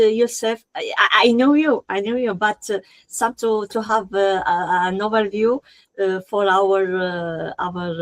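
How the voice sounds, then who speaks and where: Female conference host, mid-pitched, unhurried and hesitant. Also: no room sound. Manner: hesitant, many fillers. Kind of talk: conference interview.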